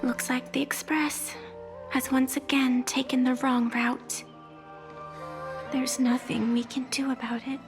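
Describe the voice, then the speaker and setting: An enigmatic, world-weary woman muses theatrically in an anime game monologue. Her voice is fairly high with breathy moments, slow and teasing, over faint music. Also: little pitch movement. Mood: wistful musing.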